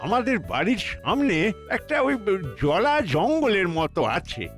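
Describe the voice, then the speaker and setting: A man's voice: high voice, wildly swooping pitch, takes it slowly, a bit bright, soft background music, full character voice. A man voicing a flustered, easily overwhelmed male tutor in a children's cartoon, often repeating his phrases. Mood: weary, complaining.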